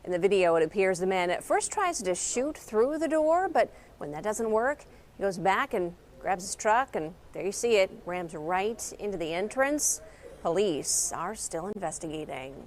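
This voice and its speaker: A woman's voice: mid-range voice, expressive, normal speed. A woman news reporter narrating a scripted story over footage, in a news delivery. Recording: news clip replayed with faint background noise.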